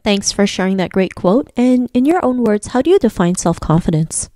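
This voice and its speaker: A woman's voice: medium pitch, lively intonation, moderate pace, warm tone, no room sound, clean studio sound. A woman hosting a podcast, greeting listeners and asking interview questions in an upbeat, formulaic way. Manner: upbeat, formulaic, repeats "thanks for sharing that". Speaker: podcast host.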